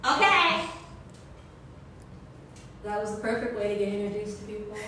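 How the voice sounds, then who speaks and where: A woman performing an autobiographical stage monologue, telling it expressively and dramatically with drawn-out words. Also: mid-range voice, flat delivery, background noise. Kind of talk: theatrical autobiographical monologue, loosely improvised. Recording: very echoey, distant, music behind.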